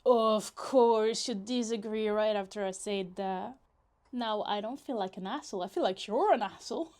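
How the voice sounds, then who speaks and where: A woman's voice: medium pitch, moderate pace, a little mellow, lightly acted. A woman playing a possessive, lovesick yandere young woman in a roleplay ASMR, teasing, pouty and dramatic in casual, conversational speech. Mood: teasing, bossy.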